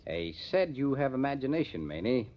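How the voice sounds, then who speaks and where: An actor playing a matter-of-fact police detective captain in an old-time radio crime drama, plainspoken and emphatic, on a muffled vintage recording. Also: male voice, medium pitch, very animated, brisk, close to an everyday voice. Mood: brisk, needling.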